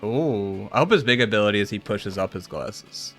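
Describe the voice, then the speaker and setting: A man streaming a game, chatting casually with friends in an excitable, jokey way. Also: lowish voice, moderate pace. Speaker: streamer, host of a raid playthrough. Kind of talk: casual gaming chat with friends. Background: game music.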